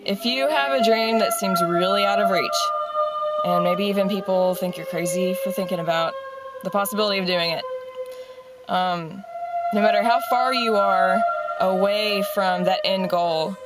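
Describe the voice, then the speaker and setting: A woman's voice: mid-range voice, rough recording. A young adult woman telling a personal story in an earnest, casual, encouraging way, like a motivational talk. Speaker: singer and motivational speaker.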